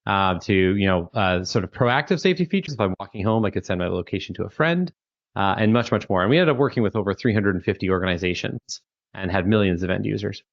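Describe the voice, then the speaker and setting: A man with a Canadian accent talks casually in a lowish voice, full of fillers and quick restarts. The audio is dry and dull, like a remote call. He sounds like a founder in his thirties. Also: lively intonation, dry recording. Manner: fast, chatty, many fillers and restarts. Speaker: guest, startup founder and author. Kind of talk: casual interview conversation.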